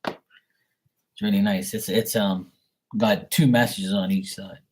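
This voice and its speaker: A man with a husky voice talking casually on a live tarot reading stream, blunt and opinionated, rambling and swearing freely. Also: on the low side, steady tone, takes it slowly, darkish tone, slight background noise.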